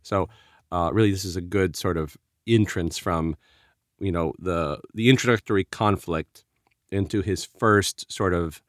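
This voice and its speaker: A man co-hosting a podcast review, talking casually in a measured way and often softening phrases with "sort of". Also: low-pitched, no room sound, clean studio sound. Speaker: podcast co-host.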